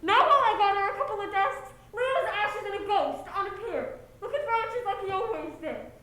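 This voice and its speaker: A woman's voice: extremely high pitch, brisk, bright, forceful tone. A young woman acting a grieving, determined detective's secretary in a school stage play, with plain-spoken noir dialogue. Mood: anguished, accusing. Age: teenager. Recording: very echoey stage, faint noise.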